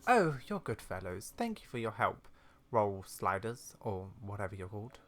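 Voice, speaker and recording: Someone acting a musing, bookish librarian, expressive pitch. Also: moderate pace. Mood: grateful, absent-minded.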